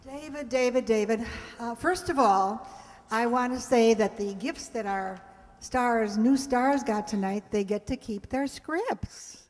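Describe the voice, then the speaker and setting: A woman's voice: on the high side, unhurried, darkish tone. A woman giving informal, rambling closing announcements and thanks to an audience as a community players' spokesperson. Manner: chatty, unscripted, rambling. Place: live before an audience.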